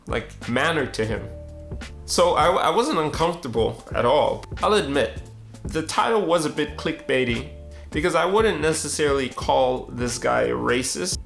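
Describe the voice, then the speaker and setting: A man's voice: on the high side, creaky, a bit bright. A young man, a street photographer, telling a personal story in a casual, conversational way and acting out both sides of the dialogue. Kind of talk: reflective storytelling narration.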